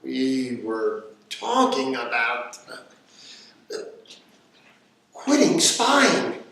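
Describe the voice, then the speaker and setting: A man's voice: medium pitch, huge pitch swings, drawn-out words, gravelly, fairly bright tone, far from the mic, heavily acted. A man acting a put-upon, hesitant spy father in an amateur radio play. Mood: sheepish. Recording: very echoey room, off mic.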